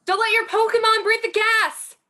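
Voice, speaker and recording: A woman's voice: very high voice, fairly even intonation, moderate pace, pushed and bright. A woman playing a panicked young woman reporter in an amateur script reading, shrieking with urgency. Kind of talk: amateur online table read of a film script.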